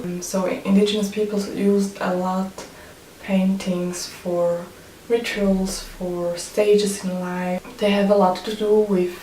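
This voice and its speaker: A woman's voice: medium pitch, warm tone. A Swiss woman speaking non-native English with a Swiss accent in a hesitant, casual personal vlog manner. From Switzerland. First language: German and French. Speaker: photographer. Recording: roomy, distant mic, faint noise.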